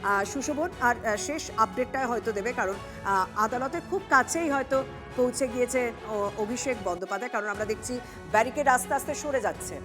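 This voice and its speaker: A high-voiced woman anchors live breaking news over a clear music bed. She has a West Bengal accent. Her delivery is improvised, with frequent hesitations between phrases. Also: brisk.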